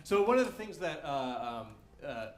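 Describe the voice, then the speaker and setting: A man's voice: on the high side, sing-song, moderate pace, soft background music. A man, a trombonist, explaining ensemble playing at a workshop into a stage mic, thoughtful and hesitant with many fillers. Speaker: trombonist in the band. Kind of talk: workshop talk. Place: community center hall.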